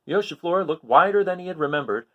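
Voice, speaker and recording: A man's voice: fairly high pitch, expressive, fast talker, narrow, tinny audio. A man reading a children's book aloud expressively, with informal asides spoken directly to young listeners. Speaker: storybook reader.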